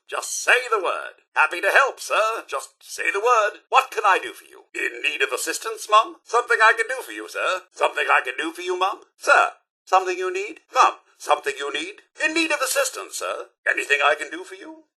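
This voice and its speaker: A fussy robot butler from a video game, British and impeccably formal. The voice sits high and swoops theatrically, with a bright, forceful edge. He is loyal and deferential, with dry sarcasm never far away. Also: slightly rough, full character voice. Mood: eager, courteous. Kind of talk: scripted video game voice lines.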